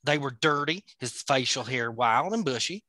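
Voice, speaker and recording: Bright-voiced man reading a story aloud. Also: medium pitch, expressive, moderate pace, no echo. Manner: playful, laughs at his own slips. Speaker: podcast host reading a novel aloud. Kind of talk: dramatic reading with joking asides.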